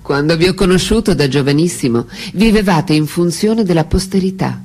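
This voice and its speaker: A woman in a radio drama playing a mature, dignified French writer who looks back on love in a measured, reflective way. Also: on the low side, darkish tone, husky. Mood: composed. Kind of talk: theatrical radio drama monologue.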